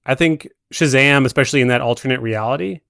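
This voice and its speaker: A man's voice: medium pitch, quick pace, vocal fry, a bit bright, dry recording, clean studio sound. A man talking casually as a podcast guest, musing about films with hesitant phrasing. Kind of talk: casual film discussion.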